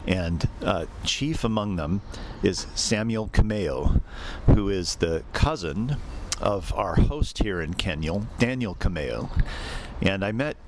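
Male podcast interviewer, low register, halting conversational delivery, slight background noise. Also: lively intonation. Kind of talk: conversational spoken introduction and interview.

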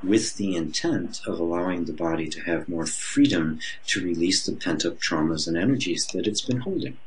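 A man's voice: low-pitched, normal speed, slight background noise, rough recording. A mature man speaking as an interview guest, explaining his personal-growth teaching in a reflective, conversational way with frequent restarts.

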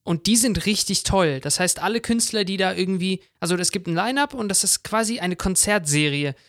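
Man, slightly high-pitched, dry recording, casual chat, enthusiastic, English loanwords, podcast co-host. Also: lively intonation, a little fast, fairly bright tone, no echo.

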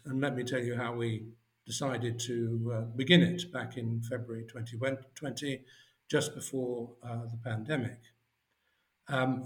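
A man answering interview questions in a measured, explanatory way with frequent hesitations. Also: medium pitch. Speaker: guest, fund adviser chairman. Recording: roomy echo.

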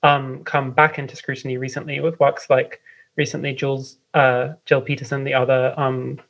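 A guest from London talks through ideas in a meandering way, full of fillers and small laughs. The voice sits at an ordinary middle pitch. The sound is a bit dull and lo-fi, like a remote call. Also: little pitch movement, normal speed, a bit bright. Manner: meandering, lots of "um" and "like", light laughs. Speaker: guest writer and editor. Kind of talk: casual remote interview explanation.

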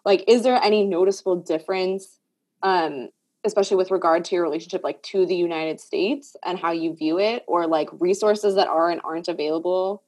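Reflective woman host, slightly low voice. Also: steady tone, fairly quick, a little mellow. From Puerto Rico. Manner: reflective, heavy use of "like" fillers. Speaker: podcast host. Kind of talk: casual conversational discussion.

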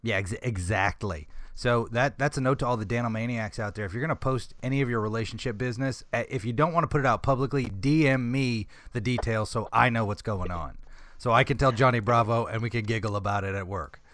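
A man's voice: medium pitch, a little fast, fairly bright tone, no echo. A man hosting a casual podcast in a loose, rambling way, laughing easily with his co-host.

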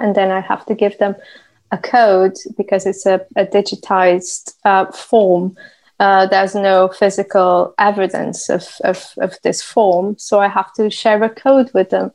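A woman from Portugal with a Portuguese accent, a stop-motion animator talking thoughtfully on a panel, pausing often with fillers. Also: medium pitch, low-quality recording. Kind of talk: panel discussion.

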